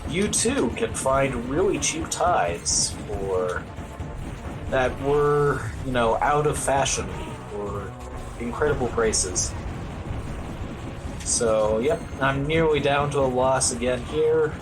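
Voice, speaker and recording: A man's voice: mid-range voice, animated, takes it slowly, low-quality recording. A man giving casual live commentary on his own speedrun, with wry, understated humor. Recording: loud game music behind voice. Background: video game music.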